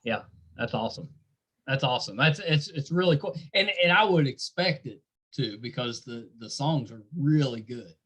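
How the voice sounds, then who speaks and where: A man's voice: medium pitch, animated, normal speed, fairly bright tone, breathy edge. A middle-aged man hosting a casual interview, talking in an encouraging, complimentary way. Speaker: podcast host interviewing a band.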